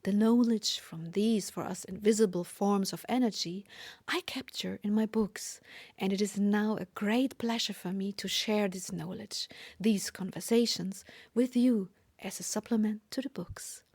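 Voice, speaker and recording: A woman with a German accent and a mellow, breathy voice. She is a native German speaker who addresses listeners formally as a spiritual podcast host. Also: mid-range voice, measured pace. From Germany or German-speaking Europe.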